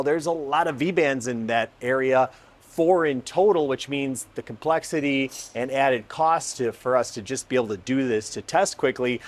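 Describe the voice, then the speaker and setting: A man hosting a car testing video, explaining things in an informal, enthusiastic way with emphatic phrasing. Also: medium pitch. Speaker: host of a car exhaust testing channel. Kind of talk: scripted narration and casual explainer to camera.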